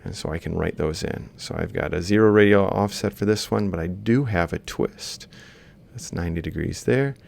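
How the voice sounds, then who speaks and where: A man's voice: deep voice, moderate pace, creaky, darkish tone. A man lecturing informally, thinking aloud as he explains step by step while drawing. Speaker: robotics lecturer.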